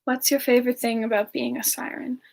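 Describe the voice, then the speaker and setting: A woman voicing a gentle, playful siren entertainer in a soft, hesitant semi-ASMR chat, answering questions in character. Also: fairly high pitch, little pitch movement, creaky. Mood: amused, sweet. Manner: soft, unhurried, giggly, hesitant.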